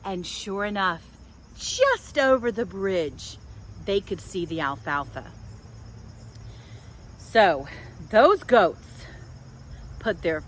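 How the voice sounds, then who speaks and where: A woman hosting a children's storytime outdoors, telling things in simple, deliberate phrases for small children. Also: medium pitch, big pitch swings. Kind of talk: storytelling and guided talk for young children. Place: outdoors in a public park. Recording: outdoor park with faint background noise.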